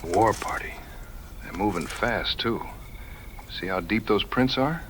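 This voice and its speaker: A man playing a seasoned, calm frontier hunter in an audio drama, measured, plain-spoken and determined. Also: lowish voice, noisy background, close to an everyday voice. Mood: tense, planning.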